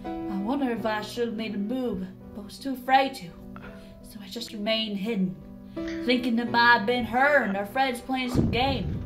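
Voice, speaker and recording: A woman's voice: fairly high pitch, normal speed, lightly acted. A woman voicing a frightened young farm mare confessing and pleading, in a dramatic fan fiction reading. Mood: haunted, recounting. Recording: background music under the voice.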